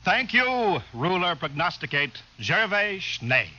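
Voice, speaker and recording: Pompous, animated older official. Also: male voice, sits high, slow, full character voice. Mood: grand announcing. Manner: formal, lecturing, salesmanlike. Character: pompous, persuasive older official and announcer, male. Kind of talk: 1950s radio drama acting. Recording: dull, noisy vintage radio recording.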